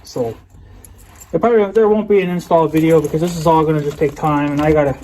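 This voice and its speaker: A man's voice: a little high, fairly even intonation, quick pace, darkish tone, rough recording. A man giving a casual, unscripted show-and-tell of a product in a rambling, folksy, colloquial way. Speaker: hobbyist video creator presenting a product unboxing. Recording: noticeable background noise with clicks.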